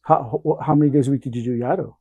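A man's voice: medium pitch, quick pace, creaky, breathy edge, no room sound, narrow, tinny audio. A middle-aged man hosting a podcast interview in a small studio, asking short questions. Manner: short questions and backchannel replies. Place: small studio room, indoors.

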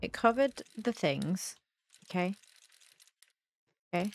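A woman's voice: lowish voice, expressive, slow, background noise. A young adult woman giving casual let's-play commentary, thinking aloud in a playful, self-deprecating mutter. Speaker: gaming video host.

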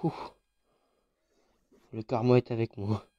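A man's voice: medium pitch, little pitch movement, slow pace, muffled recording. A man with a French accent and a head cold, chatting casually with fillers as he commentates a mobile battle royale. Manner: chatty, informal, with frequent fillers. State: has a head cold, congested. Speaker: gaming YouTuber.

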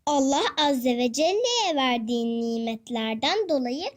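Woman playing a high-voiced, caring cartoon mother. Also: animated, unhurried. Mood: gentle, instructive. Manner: affectionate, encouraging. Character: gentle, caring mother of young children. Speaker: voice actor in a children's cartoon. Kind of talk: children's cartoon dub.

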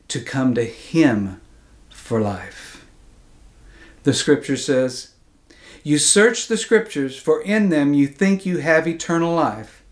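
Higher-voiced middle-aged man reading aloud. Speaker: pastor and author. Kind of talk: reading own book aloud, measured. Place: small indoor study.

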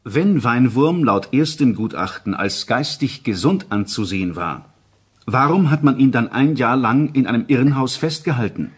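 A man in a crime radio play reading as a grave reporter, formal and deliberate. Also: medium pitch, lively intonation, breathy edge. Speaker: narrator. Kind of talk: scripted documentary crime radio-drama reading.

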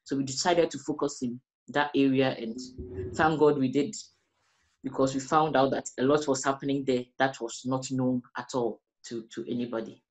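Measured West African woman. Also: rumbling low, steady tone, a little mellow, rough recording. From Ghana, also grew up in the Gambia. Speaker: interviewee, academic researcher. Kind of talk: explanatory interview answers. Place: small indoor room, plain wall.

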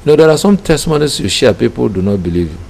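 A middle-aged Nigerian man giving an interview testimony as a ministry leader, speaking deliberately in short phrases. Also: mid-range voice, very animated, a little mellow. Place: small indoor room with curtains. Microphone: handheld interview microphone. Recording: clear background noise.

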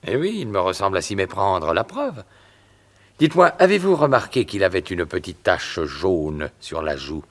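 A man's voice: sing-song, moderate pace. An actor playing a hot-tempered accused man in a French radio drama, pleading, then bullying a witness. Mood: menacing. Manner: pleading then bullying, swings from low to shouting. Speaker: escaped prisoner Eric Anderson.

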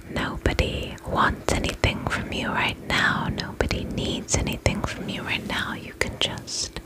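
A woman whispering softly and breathily in an ASMR spa roleplay, gentle and soothing as she attends to the listener. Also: poor audio. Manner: gentle, soothing, unhurried reassurance with soft repetitions.

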